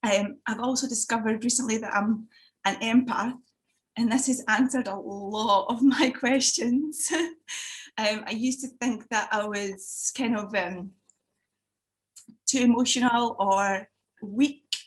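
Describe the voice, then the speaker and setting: A woman in her thirties with a slightly high voice tells her own story over a video call. She speaks haltingly, filling pauses with "um" and restarting her sentences. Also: fairly even intonation. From Italy. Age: 30s. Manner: hesitant, frequent fillers and restarts. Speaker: summit speaker. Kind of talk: personal testimony talk.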